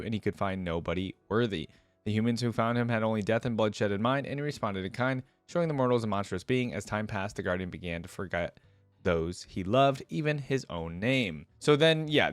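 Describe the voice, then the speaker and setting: A man's voice: on the low side, no room sound. A man hosting a casual reaction video, reading reference text aloud and adding easygoing asides of his own. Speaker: host of a game lore reaction channel.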